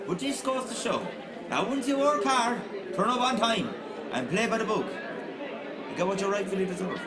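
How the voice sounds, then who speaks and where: A man's voice: high-pitched, big pitch swings, moderate pace. A man playing a hot-headed factory worker in a radio play, rowdy and emphatic. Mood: jubilant. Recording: loud crowd around the voice.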